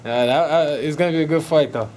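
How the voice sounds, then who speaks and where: A young man from Sweden, a boxer, giving short, relaxed answers in a casual interview inside a hotel hall. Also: a little high, fast talker. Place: hotel event hall indoors. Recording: noticeable background noise.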